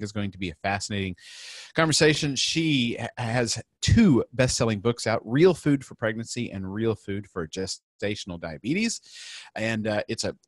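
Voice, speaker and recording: A man hosting a podcast interview, talking in a halting way with many fillers and restarts. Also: medium pitch, dry recording. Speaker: podcast host.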